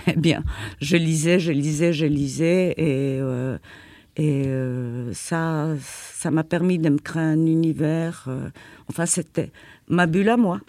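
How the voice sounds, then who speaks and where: A woman's voice: sits low, steady tone, slow, a little mellow, no room sound. An older woman, not a native French speaker, answering interview questions in a reflective, hesitant way with many fillers and repeated words. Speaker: interviewee, former journalist.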